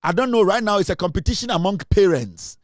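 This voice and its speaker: A man with a Ghanaian English accent delivers an opinionated commentary in a fairly high voice. He talks emphatically and drives each point home by repeating it. The sound is close and dry, with little room around it. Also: animated, quick pace, dry recording. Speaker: online commentator.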